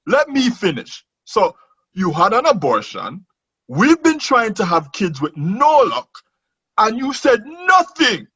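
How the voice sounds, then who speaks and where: An actor playing a hurt, sarcastic betrayed husband in a radio drama. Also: male voice, high-pitched, wildly swooping pitch, slow, a bit bright, husky, highs cut off, exaggerated voice. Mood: indignant, sarcastic.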